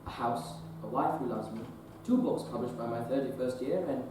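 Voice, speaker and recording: A young man acting an earnest, formal Victorian surgeon in a stage play, speaking in a measured way. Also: medium pitch, noisy background, natural voice. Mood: reflective. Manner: formal, measured, self-questioning. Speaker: actor in a teen stage production. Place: theater stage. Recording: roomy stage sound from a distant mic.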